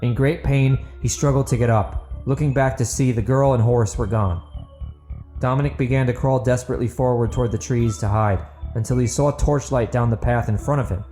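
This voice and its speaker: A man's voice: mid-range voice, fairly even intonation, a little fast, dark tone, faint music behind. A man reading a dramatic scripted fantasy audiobook as narrator.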